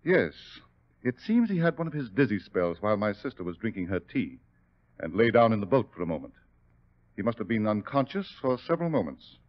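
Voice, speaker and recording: A polite, evasive doctor in an old radio drama. His voice sits fairly low but moves with animated inflection. The recording is dull and dated. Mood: regretful, explaining. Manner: polite, measured, formal. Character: courteous, smooth-talking doctor, evasive under questioning. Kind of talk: 1940s radio drama acting.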